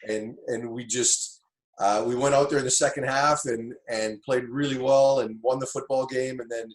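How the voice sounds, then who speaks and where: A man's voice: medium pitch, fairly even intonation, normal speed. Reflective middle-aged man in a roomy space. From Tanzania. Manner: reflective, many fillers and restarts. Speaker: football coach, interview guest. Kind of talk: casual interview storytelling.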